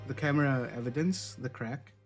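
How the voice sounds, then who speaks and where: A man's voice: mid-range voice, moderate pace, faint music behind. A man casually chatting and joking while playing through a story game. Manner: laid-back, joking reactions. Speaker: game streamer. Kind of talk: casual let's-play chat.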